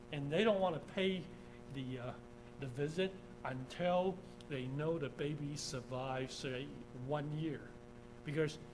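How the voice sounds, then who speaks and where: A man from Taiwan giving an informal engineering lecture over slides, often checking in with "okay?" and filling pauses with "uh". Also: a little high. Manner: informal, repeats "okay?", many "uh" fillers. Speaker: engineering professor. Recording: steady background hum.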